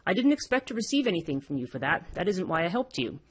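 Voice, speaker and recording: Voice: medium pitch, very animated, fast talker, breathy edge, pitched above the performer's own voice. A storyteller voicing a kindly, humble old woodcutter grandfather from a folktale, speaking in an earnest, admonishing way. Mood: modest, concerned.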